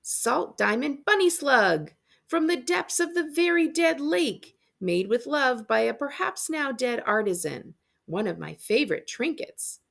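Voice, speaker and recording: A woman acts out an eccentric storybook aunt, a retired world adventurer full of warmth. The voice is high with a creaky edge, and the delivery is effusive and grandly theatrical. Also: sing-song, measured pace, full character voice. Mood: generous, fond.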